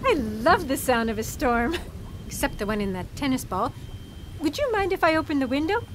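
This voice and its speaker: A woman playing a lively, polite lab colleague in a comic sci-fi audio drama. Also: sits high, normal speed, background noise. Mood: bright, chatty.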